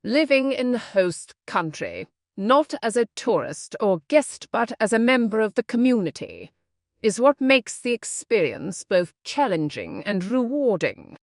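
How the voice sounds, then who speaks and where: A woman's voice: on the high side, very animated, unhurried, dry recording, studio quality. A woman reading scripted practice passages aloud for an exam lesson.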